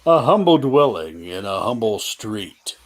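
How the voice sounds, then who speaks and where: A man playing a solemn, stately spirit who speaks gravely and deliberately in a radio play reading. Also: fairly low pitch, sing-song. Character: solemn, stately Christmas ghost guiding an old miser.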